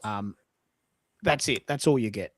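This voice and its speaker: A man's voice: lowish voice, a little slow, no room sound. A man hosting a casual live-stream chat, informal, rambling and blunt in his way of talking. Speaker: host, social media coach.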